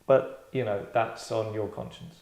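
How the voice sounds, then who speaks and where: A man hosting and directing a table read, chatting casually in a tongue-in-cheek acting critique, deadpan, rambling and self-satisfied. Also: on the low side, unhurried, gravelly. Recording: roomy, echoey indoor sound.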